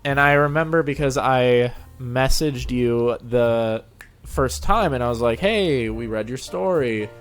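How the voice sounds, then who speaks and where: Medium-pitched male host chatting casually. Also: a little slow. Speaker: show host, interviewer. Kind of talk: casual interview chat. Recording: music playing behind the voice. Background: music.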